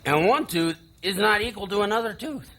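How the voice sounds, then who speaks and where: An actor playing a taunting, bitter younger brother in a stage play, with a sarcastic, theatrical delivery. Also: male voice, high voice, animated, brisk, pushed and bright, a faint crowd, full character voice. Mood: bitter storytelling. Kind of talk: live stage play acting.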